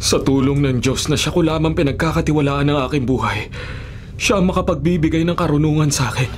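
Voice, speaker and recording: A man's voice: a little high, quick pace, faint music behind, lightly acted. An actor playing an earnest, devout young servant man in a Filipino radio drama, respectful and heightened in delivery. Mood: earnest, solemn.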